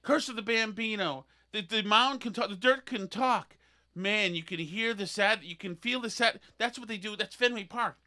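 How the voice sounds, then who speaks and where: A man hosting a podcast, ranting in an animated, sarcastic, mocking way. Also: sits high, moderate pace. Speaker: podcast host. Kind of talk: opinionated podcast rant.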